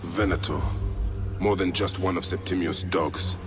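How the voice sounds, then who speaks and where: An actor playing a grave, determined warrior investigator in a video game, speaking in terse, brooding phrases. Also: male voice, low-pitched, steady tone, measured pace, over music. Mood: grim, deducing. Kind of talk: video game character acting.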